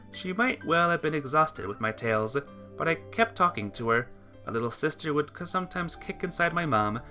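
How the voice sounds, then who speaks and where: A bright, forward voice narrates a sentimental story in earnest. The reading trips slightly now and then, and music plays behind it. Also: medium pitch. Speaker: visual novel player reading the text aloud.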